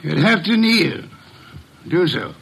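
A pompous, imperious detective, higher-voiced. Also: male voice, lively intonation, slow pace, fairly bright tone, exaggerated voice. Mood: deliberate, probing. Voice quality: heavy, breathy delivery. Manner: formal, pompous, precise diction. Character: imperious, pedantic male detective, eloquent and exacting. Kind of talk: classic radio mystery drama acting.

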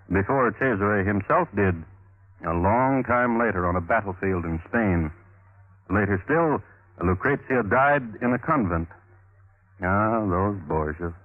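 Crime-show host: dry, deadpan, wry narrator, fairly low voice, 1950s radio, tinny sound. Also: male voice. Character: Thomas Hyland, dry, wry male crime-history storyteller. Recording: tinny vintage radio recording.

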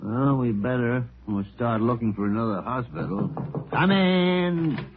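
An actor playing a grumpy, sardonic older doctor, grumbling and stammering in old-time radio comedy style. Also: male voice, medium pitch, lively intonation, measured pace, natural voice. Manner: grumbling, stammering, dry asides. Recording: tinny old radio recording.

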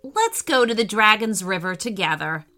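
A woman voicing a bossy young female dragon in a children's story, loud and emphatic. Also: medium pitch, lively intonation, moderate pace, soft background music. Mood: determined.

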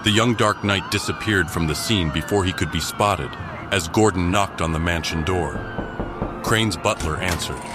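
A man's voice: deep voice, moderate pace, noisy background, close to an everyday voice. A man narrating a scripted crime mystery audio drama as a grave storyteller. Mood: grave, suspenseful. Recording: background music under the voice.

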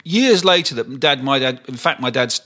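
Man in his 60s, bright crisp voice, halting, thoughtful storytelling. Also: mid-range voice, expressive. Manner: thoughtful, halting, searching for memories. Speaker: podcast guest. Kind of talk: casual reminiscing chat.

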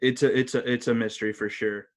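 A man's voice: medium pitch, level tone, fast. A man hosting a sports podcast in a casual, conversational style. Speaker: hockey podcast host.

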